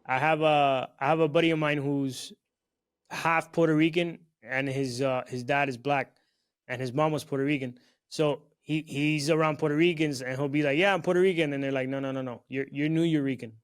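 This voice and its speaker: A man's voice: a little high, brisk. Laid-back young man hosting a podcast. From South Florida. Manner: relaxed, talkative, uses "bro" and "you know what I mean". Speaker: podcast host. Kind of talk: casual chat.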